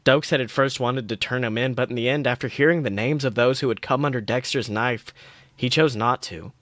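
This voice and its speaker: A man's voice: mid-range voice, brisk, a bit bright, dry recording. Man narrating fan fiction, dry close sound. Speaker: podcast co-host. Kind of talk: reading fan fiction aloud.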